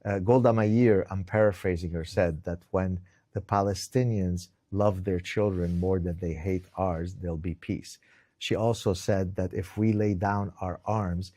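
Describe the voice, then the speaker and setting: A man's voice: low voice, steady tone, measured pace, warm tone, no room sound. A middle-aged man from Lebanon, giving emphatic interview answers, often repeating words as he starts and tagging points with "right?". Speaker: interview guest, author. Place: indoors.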